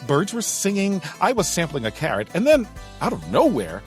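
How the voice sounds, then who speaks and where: A man's voice: sits high, huge pitch swings, moderate pace. A man playing a theatrical young adventurer in an audio drama, narrating a quest in florid, dramatic style. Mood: dramatic storytelling. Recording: music behind the voice.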